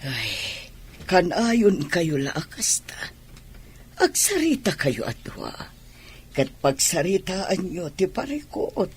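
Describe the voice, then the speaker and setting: Very raspy, concerned old mother. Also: on the low side, wildly swooping pitch, slow, exaggerated voice. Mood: concerned, resigned. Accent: Filipino. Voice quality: raspy, breathy. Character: concerned older mother advising her married daughter. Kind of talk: Ilocano radio drama acting.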